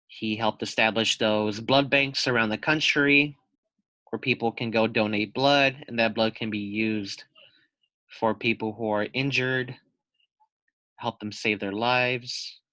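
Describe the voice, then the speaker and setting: Slow, bright-voiced man teaching children. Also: fairly low pitch, dry recording, rough recording. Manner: explanatory, asks questions and repeats key points. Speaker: teacher reading a children's book aloud. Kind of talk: read-aloud lesson for young children.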